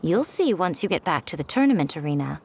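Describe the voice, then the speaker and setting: A woman's voice: deep voice, very animated, quick pace, darkish tone, slightly rough, heavily acted. A woman playing an elegant, self-assured mystical lady in a game, haughty, composed and knowing. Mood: coy, teasing. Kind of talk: English game dub voice acting.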